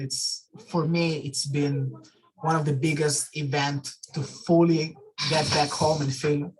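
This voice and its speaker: A man's voice: fairly high pitch, a bit bright, rough recording. Young guy talking on a video call, lots of ums, english a bit broken. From Israel. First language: Russian. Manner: halting, many fillers, loose non-native grammar. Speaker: guest speaker. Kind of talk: talk to an online audience.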